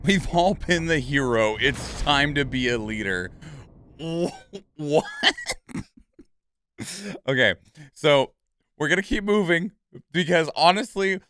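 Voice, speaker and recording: A man's voice: on the high side, lively intonation, takes it slowly, pauses often, a bit bright. A man hosting a reaction channel with casual commentary, rambling and stammering as he thinks aloud.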